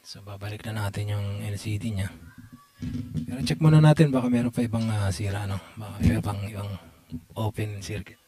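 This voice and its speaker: A man's voice: on the low side, fairly quick, mellow. A man giving a casual hands-on repair tutorial, muttering to himself in short fragments as he works. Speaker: electronics repair technician demonstrating.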